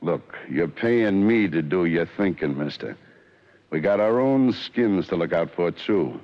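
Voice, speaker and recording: A man's voice: deep voice, expressive, a little slow. An actor playing a cold, sneering hired killer in a 1940s radio drama, curt and menacing with slangy tough-guy talk. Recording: dull lo-fi old radio broadcast.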